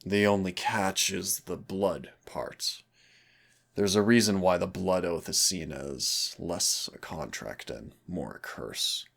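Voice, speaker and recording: A man playing a teasing but stern demon hunter in an audio roleplay, speaking deliberately with dramatic pauses in a gravelly, husky voice. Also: low-pitched, a bit bright, exaggerated voice. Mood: grave, wry. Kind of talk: audio roleplay acting, intimate second-person dialogue.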